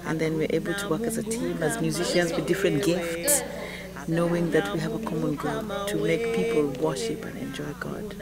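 Middle-aged kenyan lady talking emphatically to camera, music clearly behind her. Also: sits low, normal speed. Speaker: music teacher and worship singer. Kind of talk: on-camera testimonial. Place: large church auditorium.

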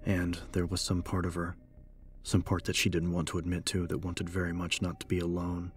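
A man narrating a scripted horror story as an audiobook, measured and serious. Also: low-pitched, monotone, faint music behind.

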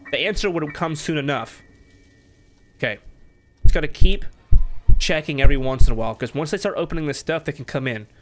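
A man in his twenties giving casual, slangy gameplay commentary and reading aloud, bantering as he plays. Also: on the high side. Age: 20s. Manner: informal, slangy, bantering with the game. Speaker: gamer, let's player.

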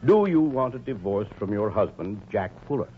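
An actor playing a kindly, dignified older judge in a 1940s radio comedy. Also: male voice, on the low side, animated, moderate pace, close to an everyday voice. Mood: kindly, official. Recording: muffled, faintly noisy 1940s radio recording.

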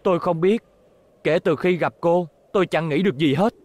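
A man's voice: fairly high pitch, moderate pace, a little mellow, no echo, close to an everyday voice. An actor playing an earnest, lovestruck young male robot racer with dramatic delivery in a dubbed cartoon. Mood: yearning.

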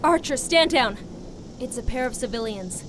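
A woman voicing a calm female squad commander who gives clipped, steady orders. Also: fairly high pitch, normal speed, close to an everyday voice. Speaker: squad leader. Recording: noisy background.

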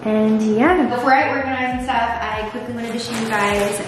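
A woman's voice: on the high side, fairly even intonation, normal speed, music in the background. Young woman vlogger, bubbly and chatty, talking to a handheld camera in a bare, echoey room. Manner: bubbly, chatty, lots of "like" fillers. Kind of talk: casual vlog apartment tour. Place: empty apartment with bare walls and hard floors. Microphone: handheld camera at arm's length.

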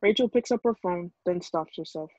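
A woman's voice: on the low side, moderate pace, a little mellow, no echo. A woman reading stage directions aloud as scripted narration at a film table read. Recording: dull lo-fi video call audio.

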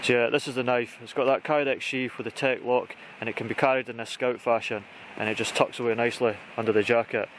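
A man's voice: medium pitch, fairly even intonation, quick pace, few pauses. A man in his thirties with a British accent, giving an informal, chatty gear walkthrough to camera as an outdoor vlogger. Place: outdoors in conifer woodland. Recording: outdoor recording with clear background noise.

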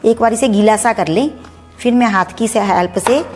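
A woman's voice: fairly high pitch, moderate pace, a little mellow, faint music behind. A middle-aged woman in her home kitchen, chattily explaining each step of a recipe as she cooks. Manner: chatty, explanatory, mixes English words. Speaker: cooking channel host. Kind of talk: home cooking demonstration.

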